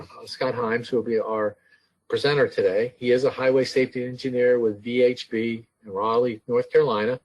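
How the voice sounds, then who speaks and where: Halting male webinar host. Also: mid-range voice, a bit bright, husky, rough recording. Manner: halting, with many fillers and restarts. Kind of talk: introducing a speaker on a webinar.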